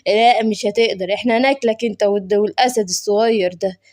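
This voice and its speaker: A woman's voice: medium pitch, fairly even intonation, fast, darkish tone, pitched below the performer's own voice, close to an everyday voice. A woman voicing a hungry, menacing male hyena with a taunting manner, in Egyptian Arabic for a children's cartoon. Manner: taunting, threatening. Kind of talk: children's cartoon story voice acting.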